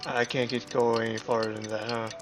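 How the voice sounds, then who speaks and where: A male game streamer talks offhandedly at an ordinary pitch, half muttering his casual commentary. Game music plays clearly behind him. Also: little pitch movement, a little fast. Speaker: livestreamer.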